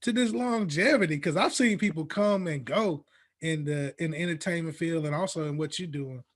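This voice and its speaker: A man's voice: fairly high pitch, normal speed. A man hosting a podcast interview, talking in an informal, upbeat, slangy way. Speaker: podcast host.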